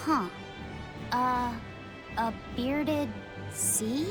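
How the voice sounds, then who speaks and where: An actress voicing a gentle, curious and earnest young innocent in a video game, with a hesitant, simple way of asking. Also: female voice, on the high side, extremely slow. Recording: game music behind the voice.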